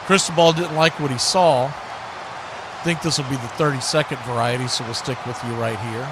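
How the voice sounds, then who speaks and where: A man's voice: mid-range voice, normal speed, very noisy. An American man doing live football play-by-play and chat as the host of a stream, with a folksy, conversational manner.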